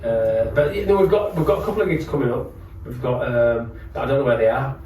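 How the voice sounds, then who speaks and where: A man's voice: mid-range voice, expressive, fast talker, darkish tone. A man chatting casually as a podcast guest, telling anecdotes with jokey, sweary banter. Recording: roomy, distant mic with faint noise.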